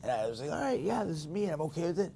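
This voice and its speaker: A man's voice: fairly high pitch, racing, vocal fry, a little hiss or hum. A man with a Boston accent hosting a podcast, talking bluntly and candidly in casual conversation. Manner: blunt, candid, animated storytelling. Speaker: podcast host.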